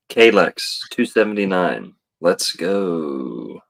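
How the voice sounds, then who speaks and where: A man on a casual podcast, talking in a slow, rambling way with many fillers and reading out viewer donations. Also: sits low, vocal fry. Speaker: podcast host reading super chats.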